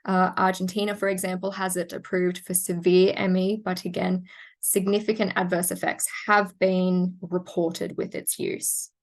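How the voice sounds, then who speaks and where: A woman, presenting medical research in a measured, technical way, with frequent fillers and restarts. She is losing her voice and sounds hoarse. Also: fairly low pitch, level tone. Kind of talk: academic webinar presentation.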